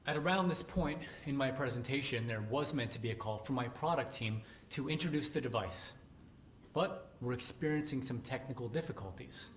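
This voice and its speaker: An actor playing a smug, callous tech chief executive giving a staged presentation in a video game, with self-assured showmanship. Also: male voice, mid-range voice, lively intonation, normal speed, natural voice. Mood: smug, apologetic. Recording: echoey presentation hall, background noise.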